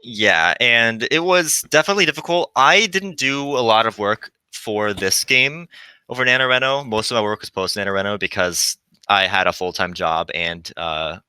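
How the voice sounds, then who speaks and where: Male game developer, 20s, smooth resonant voice, close dry sound, relaxed conversational interview guest. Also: on the low side, normal speed, no echo. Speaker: visual novel developer, guest. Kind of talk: casual chat about game development.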